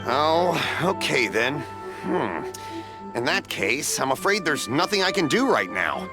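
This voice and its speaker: An actor playing a friendly, generous middle-aged stallkeeper in a video game, with a hearty, folksy manner. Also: male voice, fairly high pitch, big pitch swings, unhurried, loud music behind the voice. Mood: kindly. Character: friendly, generous older festival stallkeeper, man.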